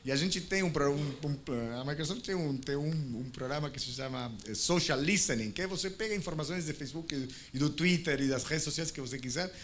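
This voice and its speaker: A man's voice: on the high side, slight background noise. Argentine man on a conference panel. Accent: Argentine Spanish. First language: Spanish. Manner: halting, many restarts and fillers.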